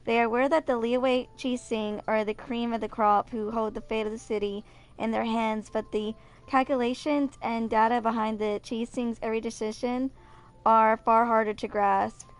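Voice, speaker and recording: A woman's voice: fairly high pitch, steady tone, normal speed. A woman in her twenties doing casual gaming commentary and reading aloud, sometimes tripping over her words. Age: 20s. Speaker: video game streamer.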